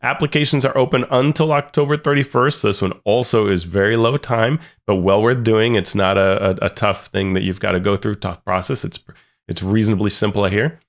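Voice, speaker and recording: A man's voice: on the low side, steady tone, brisk. A man co-hosting a podcast, sharing announcements in an informal, conversational way. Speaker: podcast co-host. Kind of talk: informal news reading.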